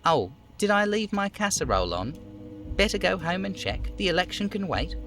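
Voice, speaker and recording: A man voicing an overweight union boss in a video game, falsely chummy, smooth and theatrically indignant. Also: high-pitched, sing-song, moderate pace, fairly bright tone, soft background music, voice pushed up, heavily acted. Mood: mocking. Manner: smooth, theatrical, over-familiar.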